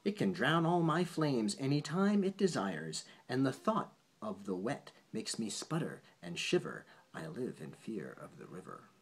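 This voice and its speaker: A man's voice: medium pitch, sing-song, unhurried, hardly any pauses, slightly rough, exaggerated voice. An anxious living fire, read by a man. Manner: sing-song rhyming. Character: fire, fearful of water.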